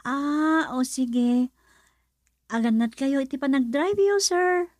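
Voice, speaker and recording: High voiced young ilocano filipino woman, shy respectful daughter type, radio drama, really dry recording. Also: slow pace, darkish tone, dry recording. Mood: shy, flustered. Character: modest young working woman, respectful daughter, hesitant in love.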